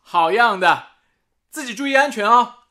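An actor in a radio drama playing a steady, earnest, resolute wartime radio station colleague. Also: male voice, very high-pitched, normal speed, heavily acted. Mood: concerned.

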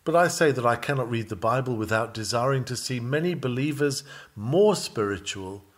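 A man's voice: medium pitch, lively intonation, normal speed. A man reading a written sermon aloud in an earnest, emphatic and formal preaching manner. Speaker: reader of a sermon text.

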